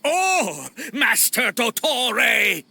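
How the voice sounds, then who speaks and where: A man voicing an arrogant, vengeful villain's lackey in a game cutscene, pompous and dramatic, with a tense gravelly voice. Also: very high-pitched, very animated, very slow, heavy background noise, exaggerated voice. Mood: threatening. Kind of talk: animated game cutscene acting.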